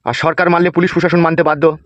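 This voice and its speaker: A man's voice: a little high, level tone, racing, a bit bright, no room sound, muffled recording, lightly acted. A man playing a scheming, ruthless village gang boss in a cartoon, with a blunt, commanding manner. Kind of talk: comic Bengali cartoon dialogue.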